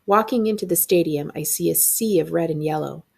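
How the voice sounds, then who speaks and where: A woman librarian reading a middle-grade novel aloud in an expressive, acted-out storytelling style. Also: deep voice, fairly quick, gravelly, breathy edge.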